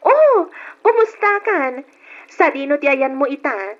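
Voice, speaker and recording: Casting: a caring female confidante, highish swooping voice, radio drama with muffled sound. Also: sing-song, a little slow. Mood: cheerful. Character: supportive, caring single female friend. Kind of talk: Ilocano radio drama acting. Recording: muffled old radio recording.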